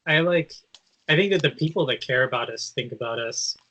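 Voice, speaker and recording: Bright-voiced, tentative male podcast co-host. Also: medium pitch, brisk, rough recording. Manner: tentative, hesitant, frequent "like". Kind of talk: thoughtful casual conversation.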